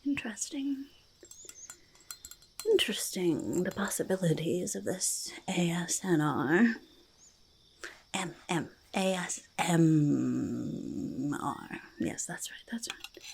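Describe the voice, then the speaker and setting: A quirky little goblin doing ASMR, eager and faintly menacing. The voice is very creaky and moves slowly between soft speech and breathy whispers, repeating itself haltingly. There is faint noise behind it. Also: female voice, fairly low pitch, expressive, exaggerated voice. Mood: intrigued. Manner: halting, repetitive, third-person speech with drawn-out words. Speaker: ASMR performer in character.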